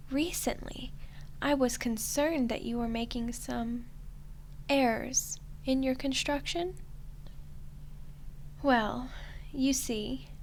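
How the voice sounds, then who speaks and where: A woman playing a smug, teasing archdemon in a roleplay ASMR style, soft and condescending, with an unhurried delivery. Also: sits high, a little hiss or hum, rough recording. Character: smug, teasing female archdemon toying with a human ruler.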